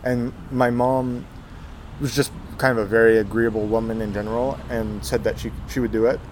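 A man in his twenties from Texas, telling his life story in an interview, halting with many fillers and restarts. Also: medium pitch, little pitch movement, a little mellow, a little hiss or hum, rough recording. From Texas, USA. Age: 20s. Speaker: guest, Zen monk.

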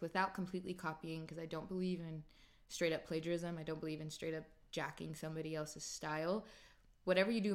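A woman in her late twenties hosting a podcast, answering listener questions in a chatty, upbeat way and animating her voice when she quotes herself. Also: sits low. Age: 20s. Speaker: podcast host. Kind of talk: casual solo Q&A podcast.

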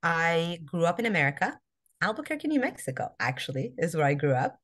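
A woman from Iran, a podcast guest, answering interview questions with many pauses and ums. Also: fairly low pitch, sing-song, a bit bright. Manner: hesitant, many ums and restarts. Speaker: podcast guest, social justice activist.

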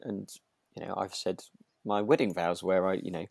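Tentative man, lowish voice, closely recorded. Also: steady tone, moderate pace, gravelly, a little mellow, no echo. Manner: tentative, circles around points, seeks agreement. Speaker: podcast co-host. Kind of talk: casual review chat about a radio drama.

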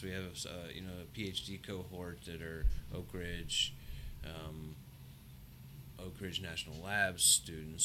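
Middle-aged male professor, deep and mumbly, bright timbre, halting delivery. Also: a little hiss or hum. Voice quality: low, mumbling voice. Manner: halting, restarts and trails off. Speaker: engineering professor, guest. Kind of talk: casual conversation. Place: university lab or office. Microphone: shared podcast mic.

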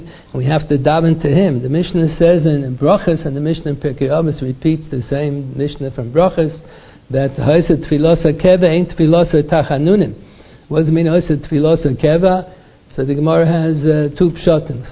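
Gravelly older man giving a Torah lecture. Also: on the high side. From United States. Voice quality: gravelly, husky. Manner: repeats words, Yiddish and Hebrew terms, rhetorical questions. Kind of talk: lecture to a congregation. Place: synagogue lecture. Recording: dull lo-fi recording.

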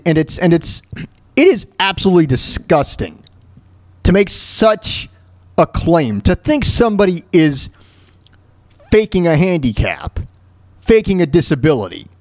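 High-pitched, animated man ranting solo. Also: dry recording. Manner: emphatic, repeats phrases for emphasis; blunt, profane. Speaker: podcast host. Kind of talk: solo podcast rant.

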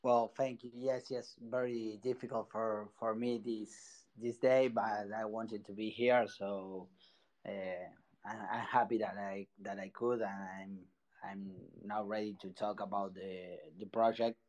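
A man from Argentina with an Argentine Spanish accent, a project founder guesting on an online audio chat, speaking halting English and searching for words. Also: on the low side, level tone, a little mellow, rough recording.

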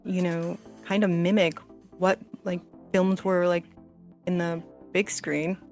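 Female podcast panelist, casual conversational delivery, noticeable vocal fry, slightly low register. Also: flat delivery, takes it slowly. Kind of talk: casual chat. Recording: faint music underneath. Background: soft background music.

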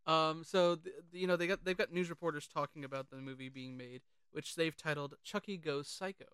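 A man co-hosting a casual film discussion, sharing trivia in a chatty, halting way and often repeating his phrases. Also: on the high side, steady tone, a bit bright, clean tone, no room sound. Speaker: podcast co-host.

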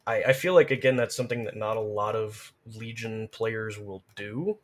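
Guy with a kinda low voice, casual gamer chat, breaks stuff down in detail. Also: fairly even intonation, moderate pace. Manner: detailed, analytical explaining. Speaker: podcast guest, gamer. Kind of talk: casual explanatory game chat.

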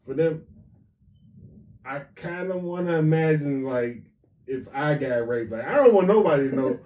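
A man's voice: a little high, lively intonation, moderate pace, warm tone. An American man co-hosting a podcast, bantering and telling stories in a blunt, slangy, profane and joking way. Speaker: podcast co-host. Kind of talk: casual storytelling banter. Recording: roomy echo, distant from mic.

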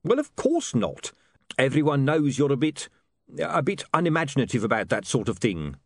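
A man's voice: medium pitch, very animated, normal speed, no echo, studio quality, pitched above the performer's own voice. A man voicing a cheerfully unscrupulous middle-aged street huckster in an audiobook, matey, wheedling and full of excuses.

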